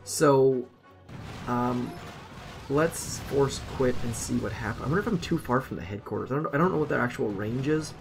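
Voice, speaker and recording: Gravelly-voiced man chatting over gameplay. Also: mid-range voice, normal speed, music in the background. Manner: chatty, self-deprecating, thinks aloud. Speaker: gaming channel host. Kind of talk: casual gameplay commentary. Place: home room.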